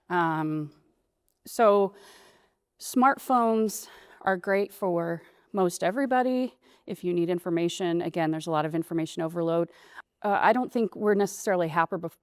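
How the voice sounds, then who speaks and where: A woman in her forties with a gravelly, fairly low voice, speaking candidly and at a meandering pace as a panel guest. The sound is dry and close. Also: a little mellow, dry recording. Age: 40s.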